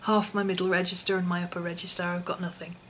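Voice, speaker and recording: A woman, her voice hoarse and husky from an infection, chatting casually in a vlog with lots of ums and small laughs. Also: on the low side, little pitch movement, fast, fairly bright tone, a little hiss or hum. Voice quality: husky, often whispery. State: hoarse, voice partly lost from infection. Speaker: vlogger.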